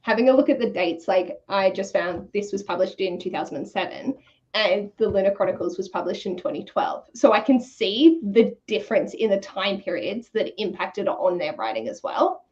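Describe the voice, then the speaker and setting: Casual female co-host, informal delivery, mid-pitched, lo-fi recording. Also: animated, moderate pace, darkish tone. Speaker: book discussion co-host. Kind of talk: casual book discussion.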